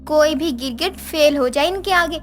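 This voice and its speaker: Casting: female actor as spoiled young tattletale, very high voice, demanding and whiny, cartoon style over music. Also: moderate pace, lightly acted. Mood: accusing, sly. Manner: demanding, complaining. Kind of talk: Hindi moral-story cartoon dubbing.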